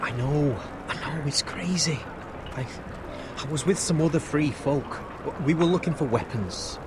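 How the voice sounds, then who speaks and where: An actor playing a friendly, uneasy young wildling man in a video game, speaking in a halting, confiding way. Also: on the high side. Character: friendly young wildling man hiding among sworn watchmen, earnest, uneasy. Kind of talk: dramatic video game voice acting. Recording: clearly noisy outdoor ambience.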